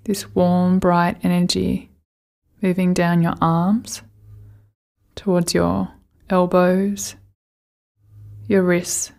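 A woman leading a guided meditation, giving gentle, soothing instructions with unhurried pauses. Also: fairly low pitch, monotone, creaky.

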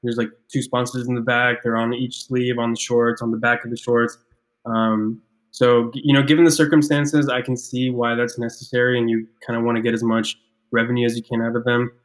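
A man's voice: medium pitch, little pitch movement, brisk, low-quality recording. A man from Ecuador, co-hosting a casual podcast, speaking thoughtfully with frequent restarts and repeated words. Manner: thoughtful, stammers and restarts often. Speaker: podcast co-host, clothing brand founder. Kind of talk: casual chat.

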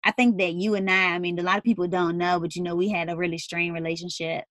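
A young woman in casual conversation with her mother, talking thoughtfully with many pauses and fillers. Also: on the low side, little pitch movement, a bit bright, no room sound, clean studio sound. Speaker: daughter interviewing her mother.

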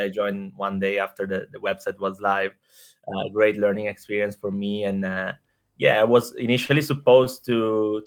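A man in his thirties from Italy with an Italian accent, talking through his career as an interview guest with many fillers and restarts. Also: on the low side. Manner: hesitant, frequent fillers and repeated words. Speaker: startup executive guest. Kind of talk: casual interview conversation.

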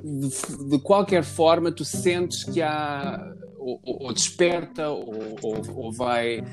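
A man with a Lisbon Portuguese accent chatting casually on a podcast, pausing often with fillers and restarting his sentences. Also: on the high side, music in the background. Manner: hesitant, many fillers and restarts, jokey asides. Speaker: podcast guest.

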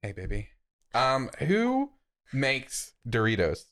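A man's voice: medium pitch, huge pitch swings, slow pace, fairly bright tone. A man with an American accent co-hosting a podcast in casual, playful banter, teasing and exclaiming as he talks. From United States. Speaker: podcast co-host.

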